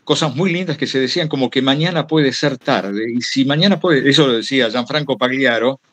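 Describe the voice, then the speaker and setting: A man's voice: medium pitch, brisk, a bit bright. A man with a Rioplatense Argentine accent, telling anecdotes casually in a digressive way and acting out what people said. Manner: digressive, acts out quoted remarks. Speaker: guest storyteller giving a talk.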